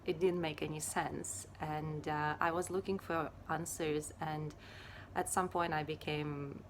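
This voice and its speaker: Low-voiced woman from Ukraine, unhurried. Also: fairly even intonation, a little hiss or hum. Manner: unhurried and hesitant, many fillers. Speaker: yoga teacher and Ayurveda therapist. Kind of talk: casual personal talk to camera.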